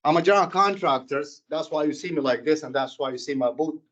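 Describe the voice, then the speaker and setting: Impassioned Sudanese-accented man, slightly high. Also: fairly bright tone, lo-fi sound. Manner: impassioned, halting, with many restarts and repetitions. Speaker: public commenter. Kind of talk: public comment at a board meeting.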